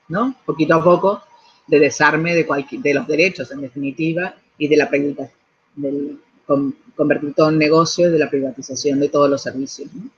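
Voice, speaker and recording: Husky Argentine woman on a muffled call. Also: deep voice. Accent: Argentine Spanish. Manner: thoughtful, many restarts and tag questions. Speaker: architect and urban planner. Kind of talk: interview answers.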